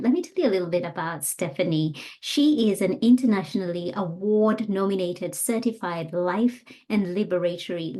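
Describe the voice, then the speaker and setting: A woman in her thirties hosting a podcast, reading a guest introduction and then asking questions in a measured, encouraging way. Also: lowish voice, warm tone. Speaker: podcast host. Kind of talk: scripted intro, then interview.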